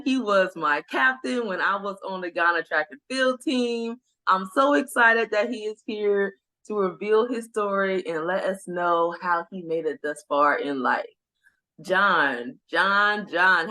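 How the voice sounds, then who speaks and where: Woman podcast host, bright tense tone, enthusiastic, mid pitch. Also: low-quality recording. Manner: enthusiastic, encouraging. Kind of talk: upbeat podcast hosting.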